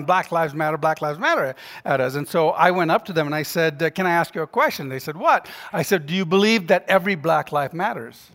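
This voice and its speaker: Middle aged guy preaching to a crowd, highish voice, chatty with rhetorical questions, big echoey hall. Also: fairly bright tone. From born in Ghana, grew up across Africa, Jamaica, India and Yemen. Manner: conversational, rhetorical questions, joking asides. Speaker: guest speaker, preacher and author. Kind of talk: public talk to a church audience, anecdotal and persuasive. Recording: echoey hall with long reverb.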